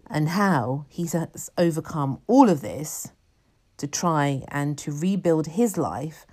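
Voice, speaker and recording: Female show host, low pitch, warm dark tone, formal introductions. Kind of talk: interview hosting.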